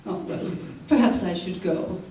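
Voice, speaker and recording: A woman's voice: lowish voice, unhurried, mellow, a little hiss or hum, natural voice. A woman playing a composed, scheming salon owner in an old-time radio play on stage. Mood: falsely solicitous. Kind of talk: old-time radio theater acting, narration and dialogue. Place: theater stage, recorded from the audience. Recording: very echoey theater, distant mic.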